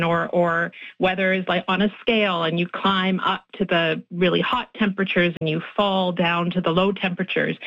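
A woman's voice: medium pitch, muffled recording. A woman linguist explaining her research in a radio interview, thoughtful, with frequent restarts and fillers. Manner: thoughtful, many restarts and fillers, laughs easily. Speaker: linguist guest.

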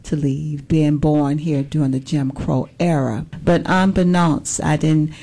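A woman in her sixties speaks with a Southern US accent as an interview guest. Her voice is notably low and very mellow. She talks conversationally, in measured phrases that sometimes hesitate and repeat. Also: fairly even intonation. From Birmingham, Alabama. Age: 60s. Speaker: political candidate, interview guest.

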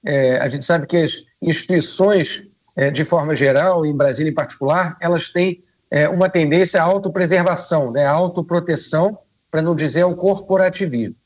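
Hoarse man on a dull radio line. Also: fairly high pitch. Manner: measured, with frequent hesitations. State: hoarse from a cold. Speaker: political commentator. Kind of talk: radio news commentary.

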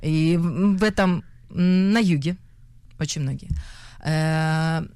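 A young woman from Russia with a Russian accent, an actress giving an interview, often pausing and restarting as she searches for words. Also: low-pitched, a little mellow, smooth, dry recording, studio quality. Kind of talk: hesitant conversational interview.

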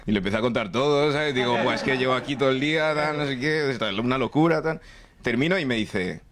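A man's voice: mid-range voice, fast talker. A young man from Nigeria doing stand-up, telling chatty stories and checking in often with the crowd. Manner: chatty, storytelling with frequent "¿vale?" and "¿sabes?". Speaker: stand-up comedian. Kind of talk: stand-up comedy set. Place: comedy club stage with a laughing audience.

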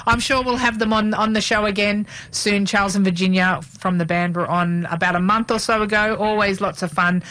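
A woman's voice: medium pitch, fairly even intonation, brisk, hardly any pauses, fairly bright tone, rough recording. A woman hosting a community radio show, chatting casually with lots of fillers and restarts. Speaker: radio host. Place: radio studio.

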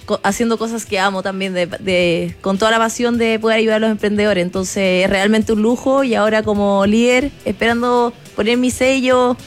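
A woman's voice: medium pitch, fairly even intonation, normal speed, few pauses, soft background music. A woman co-hosting a talk program, speaking effusively and rambling, with many fillers and restarts. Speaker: co-host, program leader. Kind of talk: casual talk-show chat.

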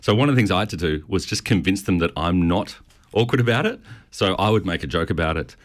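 This is a middle-aged Australian man with a notably low voice and lively, swooping intonation. He speaks with a stutter and tells his story in a casual, self-deprecating interview style. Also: quick pace. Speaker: pastor. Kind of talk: conversational interview answers.

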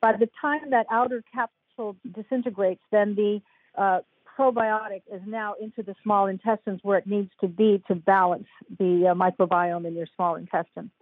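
An older woman chatting frankly and warmly as an interview guest, laughing easily as she talks. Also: medium pitch, fairly even intonation, moderate pace, husky, dry recording. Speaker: guest, author. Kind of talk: casual interview chat. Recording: dry, tinny phone-like line.

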